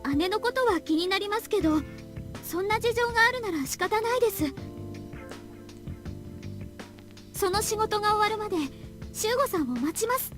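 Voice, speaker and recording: Polite earnest young vn girl, high lively voice, pleading, music behind. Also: fast talker. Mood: polite, gentle. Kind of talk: Japanese visual novel voice acting. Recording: game audio with music behind.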